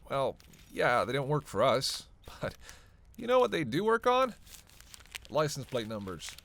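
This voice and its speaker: An actor playing a reluctant, sardonic vampire in an audio roleplay, sarcastic and grumbling, swearing freely. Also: male voice, mid-range voice, wildly swooping pitch, measured pace, vocal fry, a bit bright, heavily acted. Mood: dry, sarcastic. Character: sardonic, reluctant male vampire, hungry, cursing, teasing. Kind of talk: solo audio roleplay addressed to the listener.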